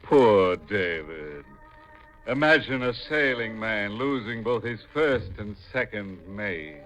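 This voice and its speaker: An actor playing a ghoulish, gleefully macabre horror host on 1950s radio, with a sardonic, drawn-out, punning delivery. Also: male voice, lowish voice, expressive, muffled recording, over music. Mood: mocking glee.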